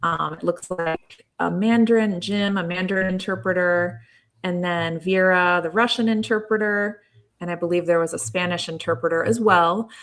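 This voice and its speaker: A woman's voice: on the low side, steady tone, moderate pace, fairly bright tone. A woman hosting a live online Q&A, passing questions to her panel in a friendly, conversational way. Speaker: show host.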